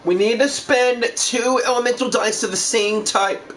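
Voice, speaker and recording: Male gaming host: high, bright voice, unhurried delivery, excitable casual commentary over audible noise. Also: poor audio. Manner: excitable, reacting out loud. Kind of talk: casual let's play commentary.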